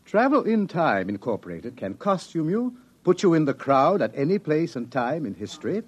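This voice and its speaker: An actor playing a smooth, menacing man who taunts with drawling, insinuating mock courtesy in an old radio drama. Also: mid-range voice, darkish tone, highs cut off. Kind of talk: 1950s radio drama acting.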